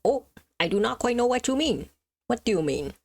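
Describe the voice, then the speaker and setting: A woman's voice: on the high side, big pitch swings, a little fast, creaky. Woman streamer musing aloud in casual reaction. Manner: musing aloud with exclamations and questions. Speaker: livestreamer reacting to a video. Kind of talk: casual live reaction chat.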